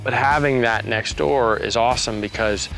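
A man with a medium-pitched voice chats informally, like a hunter showing land. Faint music plays behind his voice. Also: normal speed, gravelly, fairly bright tone, rough recording. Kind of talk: casual outdoor chat about land.

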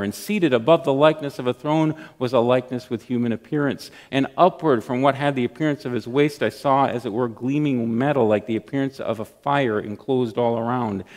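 A middle-aged man preaching a sermon from a church lectern, reading scripture and praying with earnest emphasis and pauses. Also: mid-range voice. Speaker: pastor. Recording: long church hall reverb.

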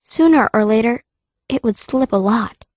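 A woman's voice: a little high, expressive, normal speed, darkish tone, dry recording, natural voice. A woman playing a fierce, earnest young girl mage who narrates her own story in an audiobook. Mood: earnest, urgent. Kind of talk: scripted first-person audiobook narration.

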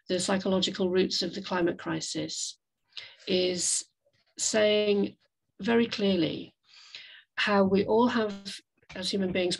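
Low, very creaky-voiced woman presenting. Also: low-quality recording. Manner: hesitant, reflective, measured phrasing. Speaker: guest speaker, climate café facilitator. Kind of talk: slide presentation talk in an online webinar. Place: indoors, on a video call. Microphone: video call microphone.

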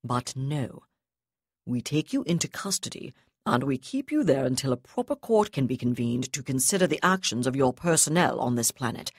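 A male navy commodore , read by a woman, speaks with icy, clipped precision and open disdain. His tone stays calm and controlled. The voice sits low and the recording is dry. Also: moderate pace, husky, no echo, heavily acted.

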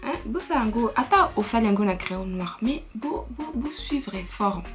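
A woman's voice: a little high, lively intonation, moderate pace, soft background music. A young woman with an Italian accent, chatting casually through a makeup tutorial in her bedroom, switching between languages with frequent fillers. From Italy. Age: young adult. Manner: chatty, mixes French and Lingala, frequent fillers. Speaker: beauty vlogger. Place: bedroom, indoors.

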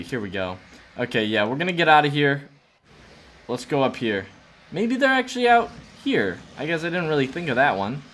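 A young man in his twenties hosts a gameplay video in a high voice that swings widely in pitch. He reacts dramatically and jokes along, talking to the game characters as he plays. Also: bright, forceful tone. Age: 20s. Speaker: gaming video host. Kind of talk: excitable gameplay commentary.

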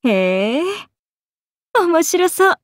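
An easygoing serpent goddess for a game. Her high voice moves freely up and down at an unhurried pace. She is chatty, friendly and teasing, with slangy, laid-back phrasing. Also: sing-song, dry recording, studio quality, exaggerated voice. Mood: breezy, teasing. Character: breezy, friendly, casual grown serpent-goddess oni woman. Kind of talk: game character dialogue.

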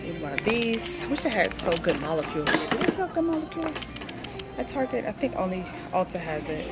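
A woman's voice: mid-range voice, big pitch swings, brisk, over loud music. An American woman in her thirties chatting casually and familiarly to her viewers as a lifestyle vlogger. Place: car, then a large store.

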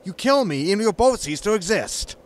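An actor playing a cocky, quarrelsome spaceship captain, blunt, irritable and informal, in a science fiction comedy audio drama. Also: male voice, sits high, very animated, moderate pace, slight background noise. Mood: indignant, defiant.

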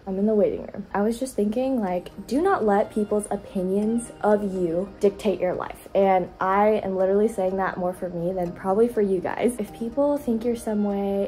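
A woman's voice: mid-range voice, a little mellow. Young woman, college vlogger, chatty and encouraging, mid-range voice, plenty of "like" fillers. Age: young adult. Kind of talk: casual vlog talk to camera.

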